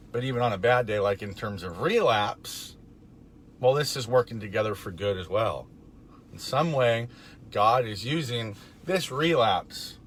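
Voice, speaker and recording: Hoarse gravelly man talking in a car. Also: on the low side, slight background noise. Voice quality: raspy, gravelly. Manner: relaxed, rambling with fillers and chuckles. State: hoarse from being under the weather. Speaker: recovery vlogger. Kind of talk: casual faith talk to camera. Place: parked car.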